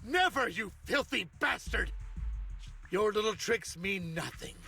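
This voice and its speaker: An actor playing a bitter, furious uncle who heads a crime family in a game, gravelly and shouting insults. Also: male voice, high-pitched, expressive, unhurried, soft background music, exaggerated voice. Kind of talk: game cutscene acting.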